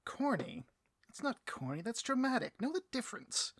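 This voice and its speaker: A man's voice: extremely high pitch, sing-song, moderate pace, fairly bright tone, no echo, studio quality, full character voice. A man playing a bloviating, petty supervillain boss in a comedic audio roleplay, with a pompous, melodramatic delivery and a taste for rants. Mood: outraged.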